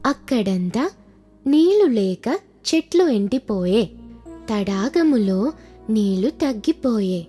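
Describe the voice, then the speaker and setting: A woman narrating a folk tale for a children's cartoon in a slow, singsong storytelling manner. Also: mid-range voice, expressive, dark tone, soft background music.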